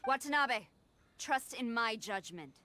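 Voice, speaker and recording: A woman's voice: on the high side, lively intonation, unhurried, bright, forceful tone, a little hiss or hum. A woman voicing a decisive, composed army general in a video game, giving authoritative orders. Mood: firm. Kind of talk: scripted video game voice acting.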